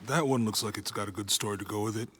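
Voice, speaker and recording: A man playing an easygoing, loyal companion, relaxed and colloquial, in a video game cutscene. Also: on the low side, fast talker, a little hiss or hum, close to an everyday voice. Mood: friendly, concerned. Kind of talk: casual, naturalistic game cutscene acting. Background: faint background music.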